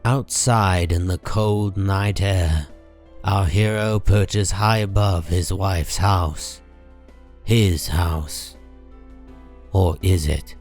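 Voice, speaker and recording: A man narrating a dark comic book drama in a measured, solemn, deliberate way. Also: deep voice, full character voice.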